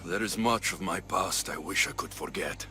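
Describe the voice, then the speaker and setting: A man's voice: deep voice, normal speed, bright, forceful tone, soft background music. A man playing a confident, teasing Greek mercenary hero in a cinematic video game, with a wry, casual manner. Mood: warm, guarded.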